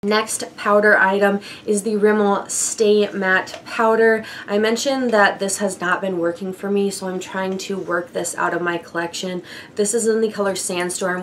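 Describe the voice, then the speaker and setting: Young woman, hoarse froggy voice, casual chatty vlog, mid pitch, faint hiss behind. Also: steady tone, a little slow. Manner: chatty, laughs lightly, frequent fillers. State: hoarse from bronchitis. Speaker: beauty vlogger. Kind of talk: casual product update chat.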